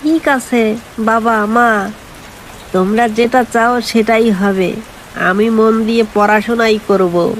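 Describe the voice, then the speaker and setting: Woman acting a slow-spoken, earnest young boy. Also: fairly high pitch, steady tone, low-quality recording. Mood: dutiful. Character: dutiful young farmer's son, anxious and earnest. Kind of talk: animated folk tale acting. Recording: faint hiss and rain noise.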